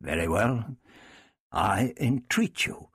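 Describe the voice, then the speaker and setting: A man's voice: lowish voice, lively intonation, takes it slowly, a bit bright, slightly rough, no room sound, studio quality, heavily acted. A man voicing an earnest, authoritative king reasoning with his sister in a dramatised storybook reading. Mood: pleading. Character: commanding king pleading with his stubborn sister.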